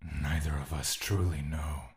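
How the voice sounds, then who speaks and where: A man's voice: very deep voice, fairly even intonation, a little slow. An actor in an audio drama playing a blunt male confidant who needles and questions. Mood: speculative, needling. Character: deep-voiced, blunt, teasing male confidant.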